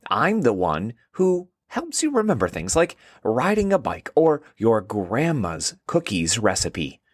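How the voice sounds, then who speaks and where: A man reads as a small, helpful seahorse-tailed memory creature from a children's story. He explains things in a friendly, unhurried way, with a lively rise and fall, recorded close and dry. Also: mid-range voice, dry recording. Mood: helpful, explaining. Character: squeaky, helpful seahorse-tailed hippocampus, male brain buddy.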